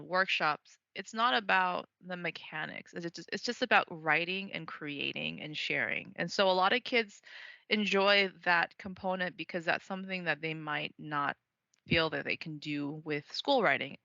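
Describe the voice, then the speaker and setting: Bright-toned chatty woman. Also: lowish voice, unhurried, vocal fry, dry recording, highs cut off. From Taiwan. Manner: chatty, enthusiastic, frequent fillers and laughs. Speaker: writing program organizer and author. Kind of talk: casual interview conversation.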